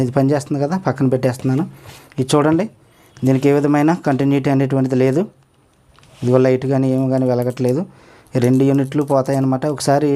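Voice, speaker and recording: A man's voice: medium pitch, dark tone. A man explaining a speaker repair step by step as he works, often asking viewers to look and follow along. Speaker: electronics repair tutor. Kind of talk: hands-on repair tutorial.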